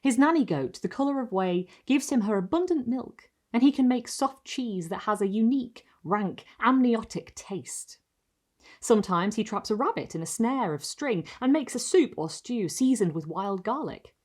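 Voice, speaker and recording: A woman reading a gothic short story aloud, measured and expressive, lingering on phrases. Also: on the high side. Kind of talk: literary audiobook-style narration of gothic fiction.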